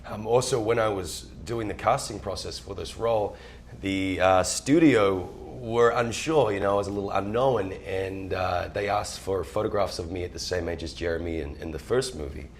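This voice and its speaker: Very low, gravelly New man, laid-back actor interview, faint noise. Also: moderate pace. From New Zealand.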